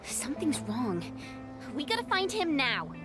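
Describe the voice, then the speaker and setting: A woman's voice: high-pitched, huge pitch swings, a little slow, exaggerated voice. A woman voice-acting an earnest, upbeat young relief helper in a video game cutscene, with music behind her. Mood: worried.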